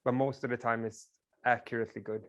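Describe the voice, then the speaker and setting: A man whose first language is Swedish lectures a class in a relaxed, explanatory way. His voice sits at an ordinary middle pitch, and he often drops in casual fillers. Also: quick pace, lo-fi sound.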